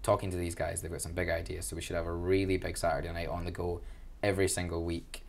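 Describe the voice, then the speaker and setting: A man's voice: low-pitched, flat delivery, fast, gravelly. A young man with a Glaswegian accent, chatting enthusiastically about student union events in an interview, with a self-deprecating humor. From Glasgow, Scotland. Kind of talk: casual interview answers.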